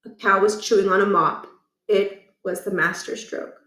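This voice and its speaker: A woman reading a children's book aloud in an animated storytelling style. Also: medium pitch, normal speed, roomy sound, far from the mic.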